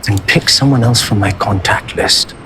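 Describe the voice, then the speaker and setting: A man's voice: on the low side, moderate pace, fairly bright tone, slight background noise, lightly acted, rough recording. Actor as a curt, impatient businessman groom. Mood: impatient, demanding. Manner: curt, commanding. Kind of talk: dramatic scripted acting.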